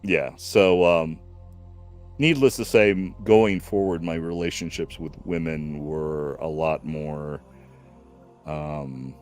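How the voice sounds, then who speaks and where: Slow, deep-voiced man in his fifties. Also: faint music behind. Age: 50s. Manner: slow, reflective, many fillers and restarts. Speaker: stream host. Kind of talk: casual chat.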